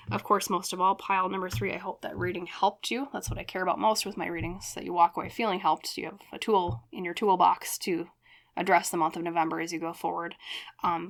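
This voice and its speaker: A woman with a fairly low voice speaks as a tarot reader. Her delivery is casual and conversational, wandering through reflective thoughts as she addresses her viewers directly. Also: a bit bright. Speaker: tarot reader and channel host.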